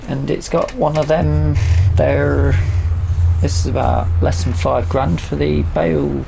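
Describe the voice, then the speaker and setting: A man's voice: a little high, fairly even intonation, unhurried, vocal fry, background noise. A man giving casual let's play commentary, muttering to himself and trailing off as he plays. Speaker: gaming video host. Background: vehicle engine sounds from farming game.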